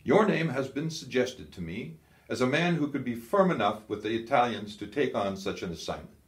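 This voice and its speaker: A male narrator plays a German field marshal from a wartime audiobook, curt, authoritative and scornful. The voice is pushed up higher and rings in a roomy, echoey space. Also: animated, moderate pace, natural voice. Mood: commanding. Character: commanding, scornful German field marshal, balding war veteran. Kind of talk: audiobook character dialogue.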